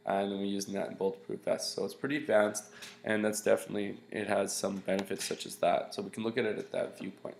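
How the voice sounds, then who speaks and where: Congested, gravelly-voiced male teacher. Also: on the low side, flat delivery, fast, darkish tone, echoey room, slight background noise. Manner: casual, rambling with restarts and fillers. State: congested, nasal. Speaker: science teacher. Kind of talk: recorded screencast lesson.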